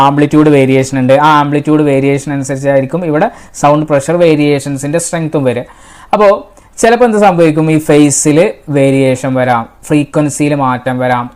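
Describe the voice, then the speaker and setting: A woman's voice: low voice, darkish tone. A woman with a Malayali accent giving an explanatory technical lecture in a didactic way, posing questions and repeating key points. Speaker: engineering instructor. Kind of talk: explanatory exam-prep lecture.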